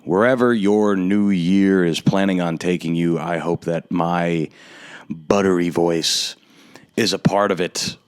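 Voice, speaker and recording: A man in his twenties hosting a solo podcast, ranting in a sardonic, drawn-out, emphatic way. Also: low-pitched, clear, resonant tone, no room sound, clean studio sound. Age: 20s. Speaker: podcast host.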